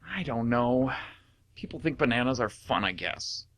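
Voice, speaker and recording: Man acting male comedy character, exasperated, defensive, humiliated, very animated pitch, radio play. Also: moderate pace, no echo, lightly acted. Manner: exasperated, indignant, protesting. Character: humiliated, defensive man who works as banana mascot.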